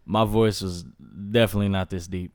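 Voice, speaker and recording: A man in his twenties with a deep baritone voice, a guest chatting casually in an interview, rambling with many fillers. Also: fairly even intonation, fairly quick, darkish tone, no room sound, studio quality. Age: 20s. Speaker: guest, recording artist.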